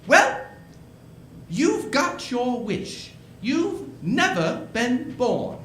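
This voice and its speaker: Sweet fussy old angel for a radio play, slow and stammery, roomy stage sound. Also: male voice, extremely high pitch, big pitch swings, pushed and bright, a little hiss or hum, full character voice. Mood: earnest, mysterious. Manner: hesitant, stammering, chuckling, quaint politeness. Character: gentle, bumbling, eager old guardian angel, second class. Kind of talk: 1940s radio play acting. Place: indoor stage performance.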